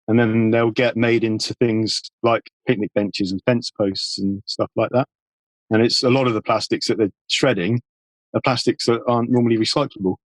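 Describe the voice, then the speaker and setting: A man's voice: lowish voice, no echo, rough recording. Man chatting casually, close dry recording. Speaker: podcast guest, environmental campaigner. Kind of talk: casual conversational interview.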